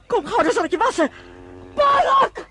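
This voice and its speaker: A farmer's wife and mother who is fretful and protective. Her voice sits high. It is performed in the manner of an old radio drama. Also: lively intonation, moderate pace, bright, forceful tone, a little hiss or hum, full character voice. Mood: alarmed. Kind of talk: Dutch radio drama acting.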